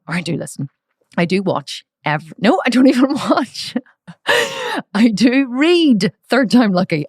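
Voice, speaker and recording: A woman's voice: deep voice, no room sound, studio quality. A woman with an Irish accent hosting her podcast, chatting casually, often correcting herself and laughing at her own slips. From Ireland. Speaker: podcast host. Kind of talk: casual podcast intro and chat. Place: office.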